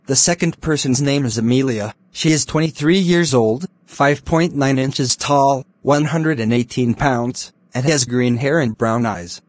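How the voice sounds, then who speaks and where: A man reading scripted emergency broadcast announcements in a formal, flat synthetic delivery. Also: mid-range voice, no room sound.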